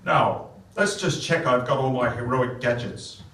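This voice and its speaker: A man's voice: mid-range voice, unhurried, a bit bright, lightly acted. A man playing a vain, self-important superhero who declaims grandly and earnestly in a live stage comedy. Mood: boastful. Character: vain, boastful, self-important male superhero with heroic airs. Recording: echoey theatre, distant microphone.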